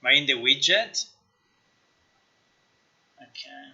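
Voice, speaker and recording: Sharp, bright-voiced man thinking aloud, slow-paced. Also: mid-range voice, animated. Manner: halting, musing, talks to himself. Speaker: game developer live-streamer. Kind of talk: casual live screen-share commentary, thinking aloud. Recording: slightly dull, lo-fi stream audio.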